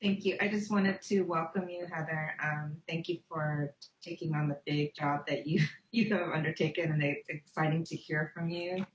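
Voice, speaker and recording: A woman's voice: low-pitched, steady tone, moderate pace. A woman parent representative speaking in a friendly, chatty way during a video call meeting. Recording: roomy, dull video call audio.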